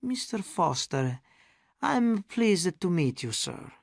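Slow, menacing man's take on a gangster boss. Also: high-pitched, very animated, vocal fry, no echo, studio quality, pitched above the performer's own voice, full character voice. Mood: mock courtesy. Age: middle-aged. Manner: swings from hushed menace to screaming rage. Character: slim gangster boss in his fifties, volatile and menacing.

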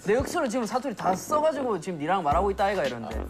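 A man on a variety show chatting quickly, his pitch rising and falling a lot. Loud music is mixed in behind him. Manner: lively, quick storytelling. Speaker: variety show cast member.

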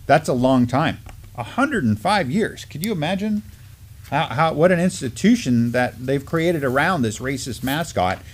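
Middle-aged man, informal and halting. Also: a little high, animated. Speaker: co-host of a community news show. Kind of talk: casual news discussion. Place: indoor studio desk, backdrop.

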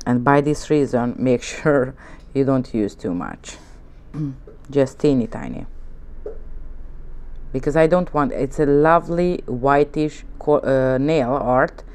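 Slow, gentle Hungarian-accented woman teaching. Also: very deep voice. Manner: slow, gentle, coaxing instructions with frequent "okay?". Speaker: nail art instructor. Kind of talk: relaxed tutorial narration while working. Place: indoor nail studio desk, hands on camera from above.

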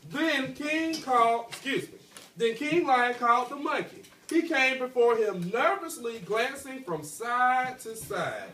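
A man's voice: very high-pitched, expressive, measured pace, pushed and bright. A young man teaching an elementary class, reading a storybook aloud and checking in with pupils with frequent okays and rights. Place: school classroom, indoors. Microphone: handheld phone among the pupils, several metres away. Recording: echoey, noisy classroom, recorded from far off.

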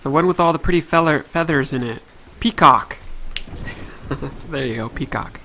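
A man's voice: on the high side, normal speed, sharp tone. A man hosting a let's play, chatting casually and thinking aloud as he plays. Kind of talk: casual gameplay commentary.

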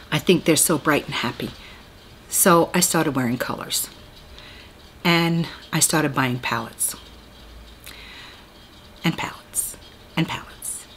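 A woman's voice: fairly low pitch, slight background noise. A woman, chatting casually and rambling through a beauty tag video at home. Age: 60s. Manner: chatty, rambling, self-deprecating with laughs. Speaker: beauty vlogger. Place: small room at home, indoors.

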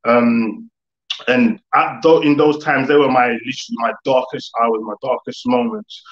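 A young man telling his story as an interview guest, in a casual, reflective way with halting phrasing. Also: medium pitch, animated. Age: young adult. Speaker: guest, music producer. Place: living room, video call. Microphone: video call. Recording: echoey room, dull video-call audio.

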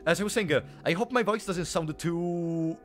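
A man's voice: high voice, moderate pace, a bit bright, faint music behind. A young man with a sore throat, chatting playfully with his live chat while streaming a game. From Italy. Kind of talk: casual live-stream commentary.

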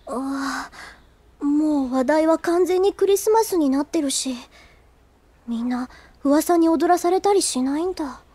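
A woman's voice: high-pitched, unhurried, dark tone, dry recording. A woman playing an earnest, easily flustered, self-doubting teenage schoolgirl in an anime audio drama. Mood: puzzled. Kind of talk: anime audio drama acting, with inner monologue.

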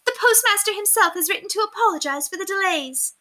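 Woman doing a shrill, vain aristocratic lady. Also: expressive, fast talker, bright, forceful tone, full character voice. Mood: astonished. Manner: high, gushing and exclamatory. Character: haughty, boastful aristocratic lady, gushing and vain.

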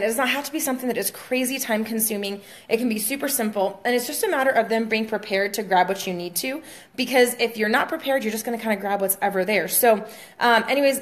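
A young woman, a fitness coach, chatting casually to viewers in a home kitchen, with plenty of ums, filmed on a phone held at arm's length. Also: fairly high pitch. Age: young adult. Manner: chatty, many fillers, talks to viewers as guys. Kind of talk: casual vlog tutorial. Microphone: phone camera held at arm's length.

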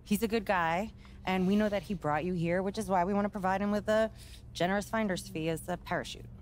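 An actress playing an ambitious, composed sports agency executive in a video game, with a measured, businesslike delivery. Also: female voice, mid-range voice, fairly even intonation, slight background noise, close to an everyday voice. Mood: diplomatic, firm. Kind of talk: naturalistic game cutscene dialogue.

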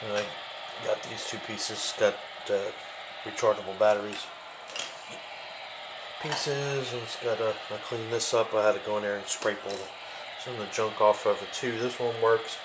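A man with a lowish voice shows off gear in an unscripted, casual way. His phrases come haltingly and often trail away. It is recorded on a handheld camera in a home kitchen, with plenty of noise behind him. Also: steady tone. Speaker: host of a radio hobby video show. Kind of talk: casual show-and-tell product demonstration. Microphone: handheld camera, behind the lens.

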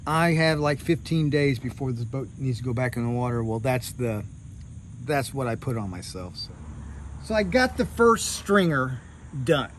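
A man's voice: mid-range voice, normal speed, slight background noise. A middle-aged man in a casual how-to style, explaining his boat repair step by step while recording outdoors at close range in a boatyard. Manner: relaxed, explanatory, walking through each step. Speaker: boat refit vlogger. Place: outdoor boatyard under a hull. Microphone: handheld camera at close range.

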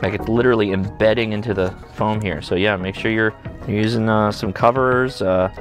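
A man's voice: fairly low pitch, fairly even intonation, normal speed, over music. An American man in his forties hosting a hands-on tech video in a conversational, enthusiastic, instructional way. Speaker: host of a ham radio video channel. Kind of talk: casual instructional product demo to camera. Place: indoor home studio and workbench.

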